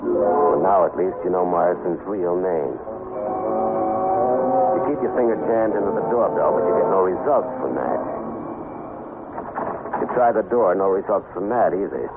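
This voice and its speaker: An American actor playing a tough, wisecracking private detective with a sardonic, clipped, slangy delivery in a 1950s radio crime drama. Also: male voice, low voice, lively intonation, moderate pace, long pauses. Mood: terse, brooding. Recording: noisy, narrow-band 1950s radio recording.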